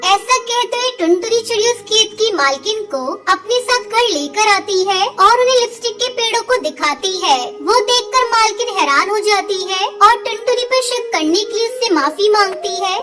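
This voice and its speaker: A woman's voice: very high voice, lively intonation, moderate pace, hardly any pauses, music in the background. A woman narrating a scripted children's story.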